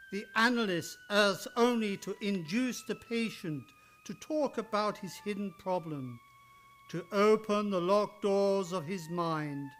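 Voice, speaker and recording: A man acts out a stately master of suspense hosting an old-time radio play. He speaks slowly and formally in a fairly high voice, measuring each phrase over faint music. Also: a bit bright.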